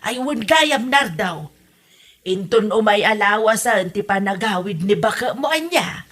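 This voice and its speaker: A woman's voice: mid-range voice, expressive, a little slow, fairly bright tone. A woman with an Ilocano accent playing a pleading, defensive village wife in a comedy radio drama. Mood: brisk, casual.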